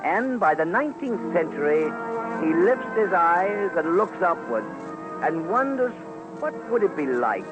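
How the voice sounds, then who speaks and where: A man's voice: sits high, sing-song, unhurried, dull audio. Male documentary commentator: theatrical, formal, widely swooping delivery, strong music bed. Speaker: commentator, narrator. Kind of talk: dramatic scripted radio documentary narration.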